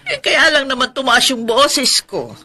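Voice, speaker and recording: A self-pitying aunt in a radio drama. Her voice is very high and sharp, swinging widely in melody. She sounds plaintive and reproachful, forever wounded. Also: animated, a little slow, exaggerated voice. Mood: indignant. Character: touchy, self-pitying older aunt who raised her nephew. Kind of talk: Filipino radio drama acting.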